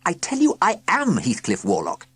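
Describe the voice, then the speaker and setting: A reader voicing an earnest, lovestruck headmaster. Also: male voice, on the high side, wildly swooping pitch, normal speed, breathy edge, no echo, pitched above the performer's own voice, exaggerated voice. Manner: earnest, dramatic.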